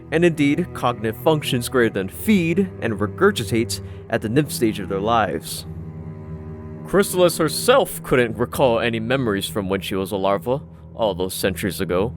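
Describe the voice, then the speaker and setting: A man reads a scripted story as an audiobook narrator. His voice sits at an average male pitch. Music plays clearly behind him throughout. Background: background music.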